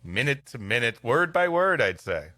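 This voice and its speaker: A man's voice: medium pitch, big pitch swings, normal speed, bright, forceful tone, no echo, faint music behind. A man hosting a comedy show, narrating an old storybook tale and chatting between passages. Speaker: host and story reader.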